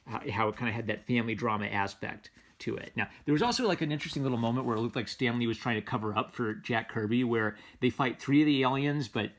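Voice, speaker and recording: A man's voice: medium pitch, quick pace, few pauses. A man giving casual, unscripted commentary as a comic book reviewer, enthusiastic and rambling, often circling back on his phrases.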